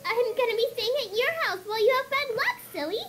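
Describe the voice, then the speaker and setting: A woman voicing an excitable, cute young female pony with a squeaky, girlish voice in a fan-made audio drama. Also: quick pace. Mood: eager. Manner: bubbly, blurting. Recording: background music under the voice.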